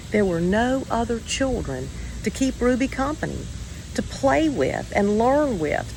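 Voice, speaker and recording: A woman reading a children's story aloud in a measured, expressive way with deliberate pauses. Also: fairly low pitch, slight background noise, poor audio. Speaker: storybook reader.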